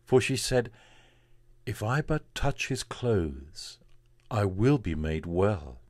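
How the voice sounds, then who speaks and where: A man, a priest, reading from the Bible in a solemn, measured and deliberate way. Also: on the low side, very animated, darkish tone, no echo.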